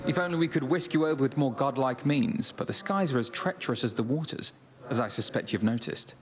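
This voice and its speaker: A man's voice: mid-range voice, fairly quick, close to an everyday voice. An actor voicing a genial, knowing male god in a video game, with courtly, flowery phrasing.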